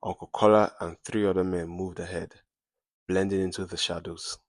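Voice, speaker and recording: A man's voice: sits low, little pitch movement, normal speed, no room sound, studio quality. A man narrating a scripted dramatic story in suspenseful, short clipped phrases.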